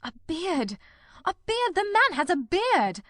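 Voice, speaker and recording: A performer voicing a shrewd, grimly determined male detective in a radio mystery, speaking formally and deliberately. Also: extremely high pitch, very animated, fairly bright tone, no echo, studio quality, heavily acted. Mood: excited. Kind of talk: old-time radio mystery drama.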